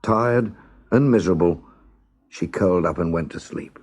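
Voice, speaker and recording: A man narrating a scripted children's story as a storyteller, expressive, measured and dramatic. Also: low-pitched, slightly rough.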